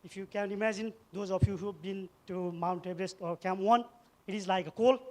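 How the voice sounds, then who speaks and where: Middle-aged male speaker, Nepali accent, high voice, emphatic halting public storytelling. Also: fairly even intonation. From eastern Nepal. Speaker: event speaker, former soldier. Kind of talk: personal story told as a public speech.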